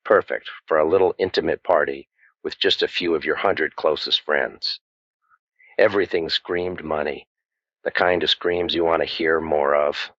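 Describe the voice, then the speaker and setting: An actor playing a world-weary, wisecracking private eye in a deadpan old-time radio detective style. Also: male voice, a bass voice, normal speed, dry recording, muffled recording. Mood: wry, brooding. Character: cynical, world-weary hardboiled male waterfront private eye.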